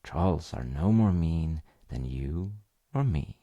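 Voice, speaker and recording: A man playing a tender dad who reads a bedtime fairy tale in a gentle, measured storybook style. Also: rumbling low, expressive, lo-fi sound, exaggerated voice. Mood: gentle, storytelling.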